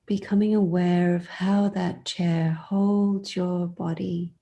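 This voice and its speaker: A woman leading a guided meditation in a soft, sometimes breathy voice, soothing and gentle, leaving long pauses between phrases. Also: on the low side, flat delivery.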